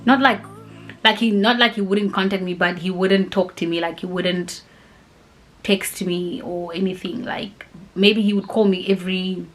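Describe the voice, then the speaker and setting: A woman's voice: on the low side, moderate pace, faint music behind, rough recording. Chatty young woman. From grew up in Cape Town, South Africa. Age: 20s. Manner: rambling and animated, many "like" and "you know" fillers. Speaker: vlogger answering viewer questions. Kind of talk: casual chatty Q&A.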